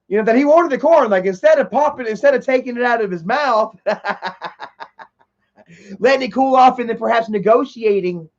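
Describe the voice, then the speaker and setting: A man in his thirties telling a rambling, profane story in casual livestream chat, full of fillers and repeats. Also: sits high. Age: 30s. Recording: dull lo-fi stream audio.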